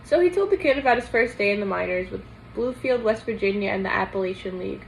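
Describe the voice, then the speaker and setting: A woman reads a children's novel aloud in a storytelling style. Her voice sits at an average pitch, and there is steady noise around her in the recording. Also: fast, rough recording. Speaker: guest reader. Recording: clear background noise.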